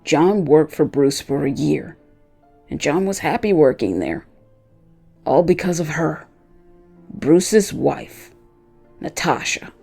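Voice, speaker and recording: A loyal, vengeful dog voiced by a narrator tells his story in the first person. The voice is soft-edged and gravelly, with deliberate dramatic phrasing over faint music. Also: medium pitch, expressive, heavily acted. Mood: recounting.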